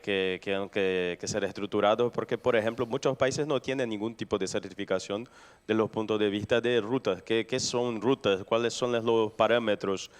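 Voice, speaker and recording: A man answering on a conference panel, halting and restarting his words often. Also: fairly low pitch, clean tone. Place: conference hall.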